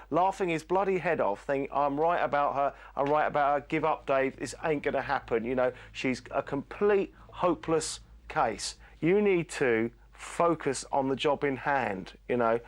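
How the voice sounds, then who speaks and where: A man's voice: fairly high pitch, lively intonation, moderate pace, hardly any pauses. A man working as a vocal coach, giving firm, blunt pep talks to a singer. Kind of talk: coaching and reality TV interview.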